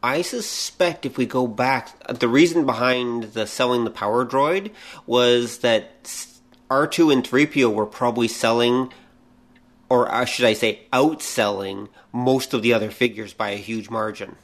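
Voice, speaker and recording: A man's voice: mid-range voice, measured pace. A middle-aged man co-hosting a podcast in a casual, conversational style. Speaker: podcast co-host.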